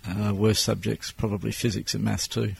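Australian business owner in his 60s, low flat delivery, plain-spoken, measured. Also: vocal fry, slight background noise. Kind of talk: interview answers.

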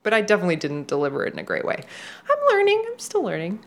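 A fairly low-voiced woman, a therapist whose first language was Polish, chatting in a relaxed podcast conversation. She tells her stories with energy, slipping into the voices of the people she quotes and laughing often. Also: racing. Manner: animated storyteller who acts out quoted speech and laughs often.

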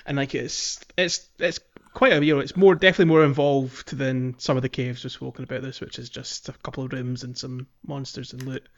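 A man's voice: medium pitch, steady tone, fairly quick, few pauses, no room sound, highs cut off. A man co-hosting a podcast, chatting casually about a video game in an agreeable, hesitant, giggly way. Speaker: podcast co-host.

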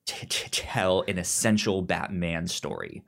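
A man's voice: low voice, a little slow, creaky, fairly bright tone. A man speaking as a podcast co-host in casual discussion, often stuttering and repeating sounds and words as he goes. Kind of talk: casual discussion of comics and film.

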